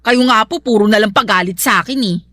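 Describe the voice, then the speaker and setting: A woman's voice: a little high, fast, sharp tone, no echo, clean studio sound. A woman playing a put-upon young daughter-in-law in a radio drama, polite but plaintive and protesting, with a Filipino accent. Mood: indignant.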